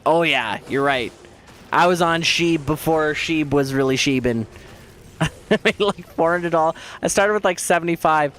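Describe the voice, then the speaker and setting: A man streaming a game who chats casually and jokes at his own expense with viewers, hoarse from losing his voice. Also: on the high side, normal speed, fairly bright tone, a little hiss or hum. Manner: rambling, self-mocking, joking with viewers. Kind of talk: casual chat while playing.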